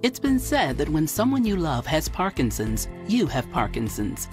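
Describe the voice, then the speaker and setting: A woman narrates a scripted public service spot. Her low voice moves expressively, with music clearly behind it. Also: very animated, normal speed.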